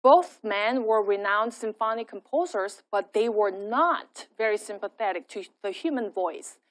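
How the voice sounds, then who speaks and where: A woman giving a scripted academic lecture, reading her prepared text in a measured way. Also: medium pitch, lively intonation. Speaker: lecturer and singer.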